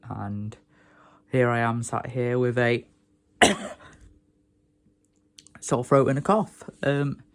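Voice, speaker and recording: Croaky young man ranting. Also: medium pitch. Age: young adult. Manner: rambling, chatty, grumbling. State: hoarse with a sore throat. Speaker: vlogger. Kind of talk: casual vlog rant.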